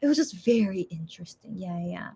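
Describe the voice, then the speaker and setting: A woman's voice: lowish voice, lively intonation, fairly quick, gravelly, warm tone, breathy edge. A woman chatting casually with her viewers, rambling through her thoughts with many fillers and restarts. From USA. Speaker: YouTuber, channel host.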